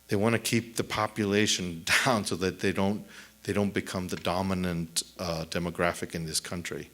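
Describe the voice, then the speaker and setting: A middle-aged man, a native Spanish speaker, answering audience questions on a theater stage at a lectern mic, thoughtful and halting with many restarts. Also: sits low, a bit bright. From El Paso, Texas. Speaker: author at a book event. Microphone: lectern mic on a stand.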